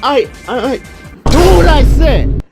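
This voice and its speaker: A young man telling a personal story casually, in an animated, slangy way, acting out the conversations as he goes. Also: very high voice, measured pace, creaky, loud music behind the voice. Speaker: video storyteller.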